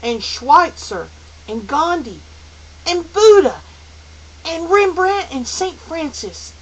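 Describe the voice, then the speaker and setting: A woman voicing an eager, questioning teenage boy in a storybook, speaking up loudly and insistently. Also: medium pitch, sing-song, unhurried, pitched above the performer's own voice, full character voice, poor audio. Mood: growing confidence. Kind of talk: audiobook character voice. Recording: faint hiss.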